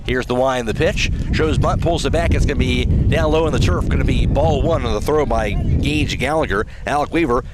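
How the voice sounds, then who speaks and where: A man's voice: mid-range voice, fairly quick, few pauses, heavy background noise. An American man calling live baseball play-by-play on radio in short, clipped phrases from an outdoor field. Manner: clipped, fragmentary calls of the action.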